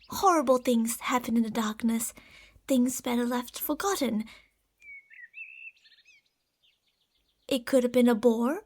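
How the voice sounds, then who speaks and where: A woman playing a possessive, tender but deceitful lamia in an ASMR roleplay, speaking softly and formally, at times slipping into whispers. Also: high-pitched, slight background noise. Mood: evasive, ominous. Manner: soft, formal, soothing speech with breathy whispers.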